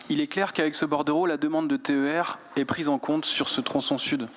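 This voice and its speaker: A man from Brittany, France, speaking as a regional councillor in a formal, measured, articulate political speech, partly read. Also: a little high, fairly even intonation, a bit bright, slight background noise.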